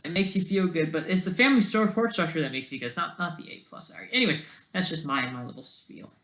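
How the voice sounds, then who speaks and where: A person thinking aloud in a casual, digressive commentary over study notes, halting often and talking in an informal, self-deprecating way. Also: medium pitch, a bit bright. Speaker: solo online commentator.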